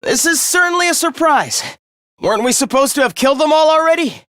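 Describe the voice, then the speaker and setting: A man's voice: extremely high pitch, expressive, fairly quick, pushed and bright, no echo, clean studio sound, heavily acted. A young man playing a smug, mocking villain in a video game, with a condescending, theatrical delivery. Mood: coolly puzzled. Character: taunting, smug young villain living in someone's head. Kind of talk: video game character acting.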